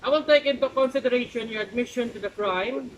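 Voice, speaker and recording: A man's voice: very high voice, fast talker, dull audio. A man with a Filipino accent playing a formal, orderly judge in an amateur courtroom role-play. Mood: formal, commanding. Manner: formal, procedural. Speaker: judge in a mock trial. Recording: faintly noisy amateur room recording.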